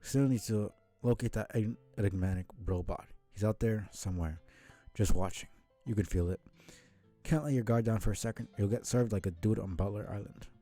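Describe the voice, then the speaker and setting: A man with a low, mellow voice reads narration aloud for a live stream. He moves between scripted lines and offhand remarks in a halting way, with some music playing behind him. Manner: halting, stumbles and rereads words. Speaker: streamer reading a webcomic aloud.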